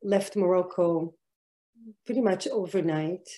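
A woman's voice: on the low side, a little slow, warm tone. A middle-aged woman from Israel, an artist answering interview questions over a video call, speaking reflectively with many fillers and restarts. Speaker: artist guest.

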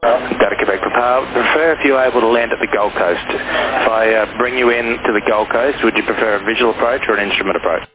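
A man working as an air traffic controller, giving measured, procedural instructions over aviation radio. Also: medium pitch, steady tone. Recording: muffled lo-fi aviation radio, music behind.

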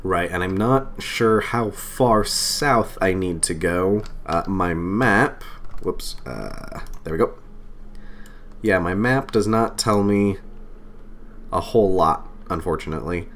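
A man with a slightly lowered voice, still getting over a cold, talks through his game into a desk mic. He rambles casually, thinking aloud and poking fun at himself. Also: slow pace, a bit bright, a little hiss or hum. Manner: chatty, self-deprecating, thinks aloud with fillers. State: recovering from a cold. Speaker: let's play host. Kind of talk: casual gameplay commentary. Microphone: desk microphone.

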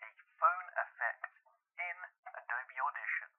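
A man's voice: medium pitch, unhurried. A man reading a short scripted demo line through a telephone effect. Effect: telephone filter. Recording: dull, band-limited telephone effect.

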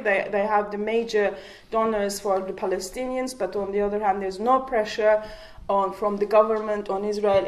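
A woman's voice: mid-range voice, darkish tone. A woman in her forties whose first language is Kurdish, speaking on a panel in a community hall with a handheld mic, impassioned, with rhetorical questions and frequent restarts. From Turkey. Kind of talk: public panel talk.

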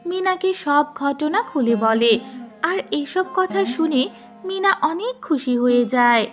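A woman reading scripted narration for a children's animated fairy tale. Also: sits high, measured pace, faint music behind.

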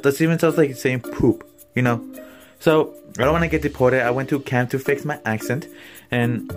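A young man with a Mexican American accent, chatting jokily and rambling to the camera in his bedroom, filmed on a phone at arm's length. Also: mid-range voice. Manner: rambling, joking, filler-heavy. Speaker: vlogger. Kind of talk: comedic vlog chat. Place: small cluttered bedroom, indoors.

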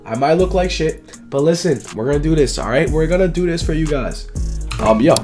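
A man in his twenties with a raspy, dry, flu-sick voice, giving casual, slangy gaming video commentary as a YouTube host. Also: fairly high pitch, animated, a little fast, over music. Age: 20s. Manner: slangy, informal, repetitive emphasis. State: sick with flu, sore throat, coughing.

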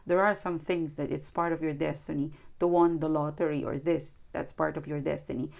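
A woman's voice: deep voice, steady tone, brisk, vocal fry, darkish tone. A woman whose first language is not English, giving an earnest tarot reading with lots of repeating and restarting, recorded indoors at a table. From outside New Zealand. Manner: earnest, repetitive, coaching tone with restarts. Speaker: tarot reader.

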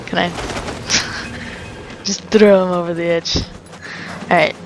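A young woman chats her way through a shooter, rambling and laughing as she plays. Her voice sits a bit low for a woman. Game sounds run clearly in the background. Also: level tone, very slow, background noise. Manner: chatty, rambling, laughs often. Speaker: let's play host. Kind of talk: casual gameplay commentary. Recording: commentary over game sounds.

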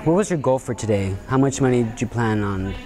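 A young man working as a student interviewer, asking upbeat, set questions after a game in a high school gym. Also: animated, a little fast, warm tone, soft background music. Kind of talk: postgame interviews. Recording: noisy gym with crowd.

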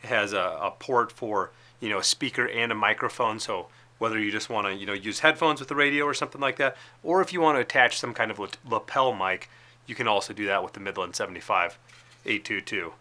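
A man's voice: fairly low pitch, fairly quick, pushed and bright. An American man, around his thirties, presenting in an informal, explanatory way, like a casual product demonstration. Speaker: product presenter for a radio retailer. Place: indoor studio with plain backdrop.

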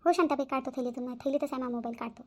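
A woman's voice: sits high, racing. A woman voicing a timid, curious village sidekick in a cartoon, exclamatory and questioning, with a Varhadi Marathi accent. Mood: eager. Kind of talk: cartoon character dialogue.

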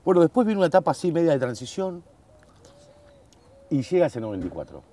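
A man's voice: a little high, very animated, fast, dark tone. A man in his forties with an Argentine accent, hosting a casual conversational interview. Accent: Argentine Spanish. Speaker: host, interviewer. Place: outdoor garden patio, at a dining table.

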